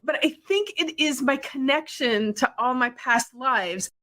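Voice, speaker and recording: A woman from Wisconsin co-hosting a casual podcast, her voice bright and a little high. She tells stories in a quick, animated way, acting out other people's lines as she goes. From Wisconsin, USA. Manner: animated, quick storytelling with acted-out quotes. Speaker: podcast co-host.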